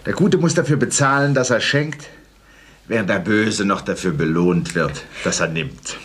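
A man's voice: lowish voice, animated, normal speed, a bit bright. An actor playing a cynical, suave and greedy blackmailer in a German radio play, savoring his words with sardonic relish. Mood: smug, cynical. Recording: faint noise on old radio recording.

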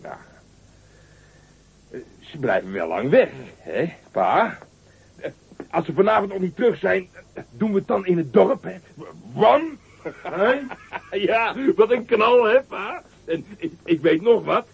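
A man's voice: high voice, big pitch swings, slow, vocal fry, pauses often, husky, dull audio, heavily acted. A giggling boy giant. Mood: gleeful boasting. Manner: giggling, proud and whiny, stammering. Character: boastful, childish young giant boy, giggly. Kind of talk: radio drama acting.

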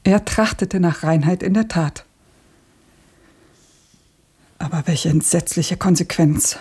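A woman's voice: on the low side, moderate pace, breathy edge. An actress playing a grieving, bitter woman revolutionary in a radio play, with an intense, sorrowful delivery. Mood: resigned grief. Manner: intense, sorrowful, sometimes dropping to a hush.

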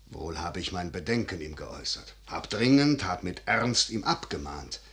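An actor playing a grave, scheming middle-aged general and father, declaiming solemnly and with measured delivery in a 1950s German radio verse drama. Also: low-pitched, animated, a bit bright. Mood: solemn, earnest.

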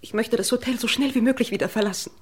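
Breathy, shaken widow. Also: female voice, a little high, fast, darkish tone. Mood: distraught, restrained. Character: shaken, reserved newly widowed wife of murdered man. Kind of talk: vintage German crime radio play acting.

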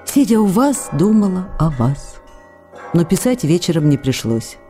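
An actress playing a lively, affectionate young actress who reads her letters in a chatty, coquettish way. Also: fairly low pitch, lightly acted. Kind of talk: acted reading of letters in a radio play.

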